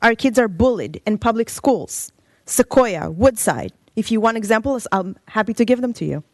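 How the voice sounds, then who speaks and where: A woman's voice: fairly high pitch, expressive, normal speed, dry recording, rough recording. A woman from Israel giving an impassioned public comment with urgent, pointed questions at a council meeting podium microphone. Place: council chamber.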